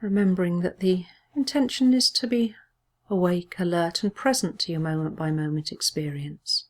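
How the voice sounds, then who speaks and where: Female meditation teacher, slightly low voice, gentle measured delivery for a soothing guided body scan.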